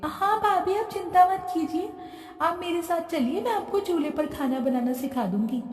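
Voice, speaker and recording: Woman playing a helpful young sister-in-law, high-pitched, reassuring, echoey. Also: moderate pace, soft background music. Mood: eager. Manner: bright, reassuring. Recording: roomy echo, distant from mic.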